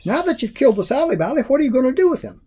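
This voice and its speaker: A man voicing an excitable, eager country boy in a folk tale. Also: extremely high pitch, racing, slightly rough, voice pushed up, full character voice. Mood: curious.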